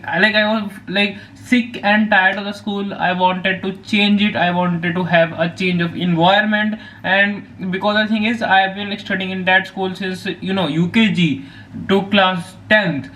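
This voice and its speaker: A man's voice: sits high, a bit bright, poor audio. A teenage boy from Punjab with an Indian accent, a native Hindi speaker, talking casually to camera with lots of fillers and restarts. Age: teens. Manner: rambling with many fillers and restarts. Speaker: vlogger.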